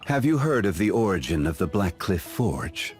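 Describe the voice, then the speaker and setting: A man playing an ancient, calm, dignified gentleman in a video game, speaking in a formal, measured, reflective way. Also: deep voice, soft background music. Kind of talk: scripted video game character voice lines.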